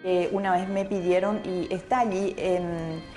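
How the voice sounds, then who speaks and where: A woman's voice: lowish voice, fairly even intonation, unhurried, mellow, soft background music. A middle-aged woman from Paraguay whose first language is Italian, chatting casually as a guest in a TV studio, with many fillers and restarts. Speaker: interview guest, painter. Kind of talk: casual interview chat. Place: TV studio set with plants.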